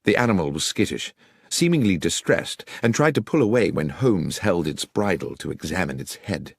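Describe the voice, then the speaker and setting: Male audiobook narrator needed: low pitch, varied intonation, scripted storytelling. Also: lively intonation, moderate pace, vocal fry, breathy edge, no room sound, clean studio sound.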